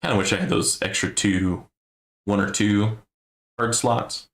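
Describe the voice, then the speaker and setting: A man giving casual gameplay commentary on a stream, thinking aloud in a low-key, musing way with a gravelly voice. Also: fairly even intonation, a little slow, a bit bright. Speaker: game streamer.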